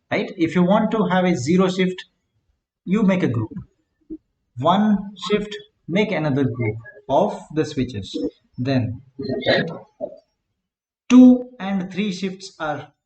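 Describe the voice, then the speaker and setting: Male lecturer, slow and halting, slightly high voice, roomy echo. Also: sing-song, darkish tone. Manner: slow, halting delivery in short word groups, frequent "right?" checks. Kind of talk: classroom lecture on circuit design. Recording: echoey room, faint background hum.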